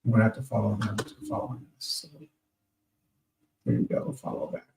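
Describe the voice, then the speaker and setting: Gravelly rambling man in his fifties. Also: fairly low pitch, little pitch movement, a little fast, darkish tone, far from the mic, slight background noise. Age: 50s. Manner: meandering, reads out listener names. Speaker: internet radio host. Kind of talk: rambling casual talk to listeners. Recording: echoey room, voice off-mic.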